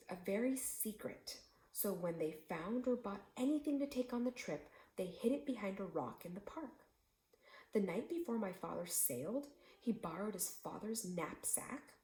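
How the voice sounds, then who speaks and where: A woman reading a children's book aloud expressively, pausing now and then to speak to her listeners. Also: medium pitch, a little mellow, echoey room, low-quality recording. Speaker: read-aloud storyteller for children.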